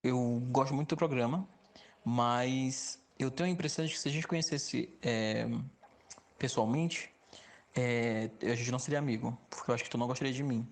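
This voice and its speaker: A man's voice: medium pitch, steady tone, normal speed. Male listener, mid-range voice, informal spoken message. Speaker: podcast listener sending a message.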